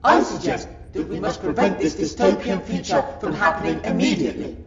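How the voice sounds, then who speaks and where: Guy doing a pompous scornful lizard alien, creaky highish voice, dull echoey sound w/ loud music. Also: lively intonation, fairly quick. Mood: grave, resolved. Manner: pompous, scornful, formal declaiming. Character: haughty, contemptuous reptilian Silurian male in royal court. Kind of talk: sci-fi audio drama acting.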